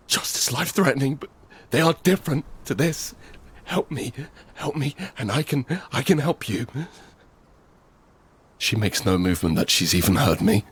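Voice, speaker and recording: Deep-voiced, anguished wounded father. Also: breathy edge, heavily acted. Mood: desperate, pleading. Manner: halting, repetitive, pleading in dialogue. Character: injured, desperate father pleading to save his family. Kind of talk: first-person audio drama, narration and dialogue.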